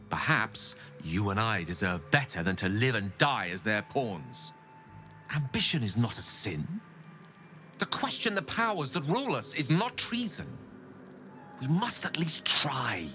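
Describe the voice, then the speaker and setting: A man's voice: lowish voice, pushed and bright, exaggerated voice. A man playing an eloquent, ambitious and embittered wizard in a video game, speaking with earnest, theatrical and formal articulation. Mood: defiant, impassioned. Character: ambitious, eloquent, embittered male wizard pleading his case. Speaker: video game companion character. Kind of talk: dramatic game dialogue acting. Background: background music.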